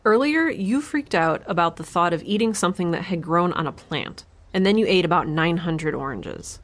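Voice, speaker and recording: A woman playing a wry, frazzled young scientist in an audio drama, chatty and sardonic with halting moments. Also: fairly low pitch, animated. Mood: playful, awkward. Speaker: lead actor and creator of the podcast. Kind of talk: first-person audio drama, spoken log.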